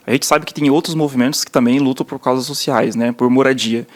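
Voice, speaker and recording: A young man co-hosting a podcast in a relaxed, chatty way. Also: medium pitch, a little fast. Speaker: podcast co-host, doctoral student.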